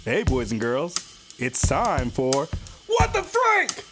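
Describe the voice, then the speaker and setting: High-voiced ranting man over music. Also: wildly swooping pitch, slow pace. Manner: exasperated, emphatic. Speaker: comedic rant segment host. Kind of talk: comedic rant monologue.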